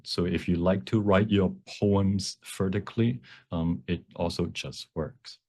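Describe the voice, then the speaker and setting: Low-voiced man giving an informal workshop. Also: fairly even intonation, measured pace, warm tone. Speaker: workshop presenter, font creator. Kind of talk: informal software demonstration, explaining.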